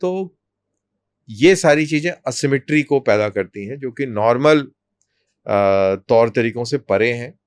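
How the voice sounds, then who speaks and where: A man speaking as an interview guest, explaining in measured, deliberate short phrases with pauses. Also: medium pitch, expressive, dry recording. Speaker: army colonel, interview guest.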